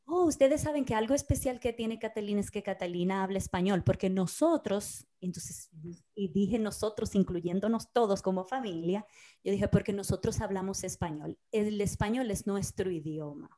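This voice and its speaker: A woman's voice: medium pitch, moderate pace. A Dominican woman in her thirties co-hosting a casual podcast, telling stories and acting out what people said. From Dominican Republic. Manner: animated storyteller, acts out quoted dialogue. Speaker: podcast co-host. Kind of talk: casual conversation.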